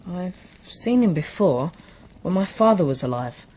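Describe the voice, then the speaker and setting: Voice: mid-range voice, expressive, a little slow, darkish tone. A young performer with a British accent acting in a radio drama as a proud, polite noble boy, earnest and well-spoken.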